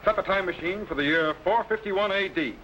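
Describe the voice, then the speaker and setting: An actor playing a commanding, knowledgeable scientist captain in a 1950s sci-fi serial, giving crisp orders. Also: male voice, sits high, a little fast, sharp tone. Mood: determined. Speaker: time machine captain. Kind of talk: 1950s radio-style sci-fi acting. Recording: dull lo-fi old broadcast with faint hiss.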